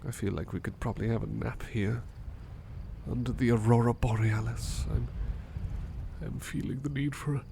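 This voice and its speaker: A man playing a tender, courteous butler in love, murmuring gently and hesitantly in a soft romantic ASMR roleplay. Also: medium pitch, steady tone, normal speed, gravelly, background noise, lightly acted. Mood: sleepy, tender.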